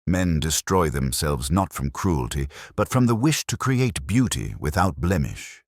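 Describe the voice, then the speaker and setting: Very deep-voiced male story narrator. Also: sing-song, no room sound, studio quality. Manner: literary, measured, brooding. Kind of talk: scripted first-person detective story narration.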